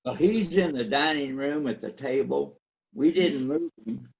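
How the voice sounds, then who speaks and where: A man's voice: a little high, a little slow, vocal fry, highs cut off. A man playing an easygoing, folksy dinner guest in an amateur radio-style play, speaking without hurry. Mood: folksy chatting.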